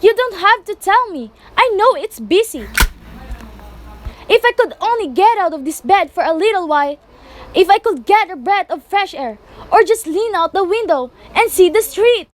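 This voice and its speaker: A woman's voice: very high-pitched, expressive, normal speed. A woman playing a nervous, demanding bedridden wife in an amateur radio play, fretful and melodramatic. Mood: irritated. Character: nervous, demanding bedridden invalid wife, shrill and self-pitying.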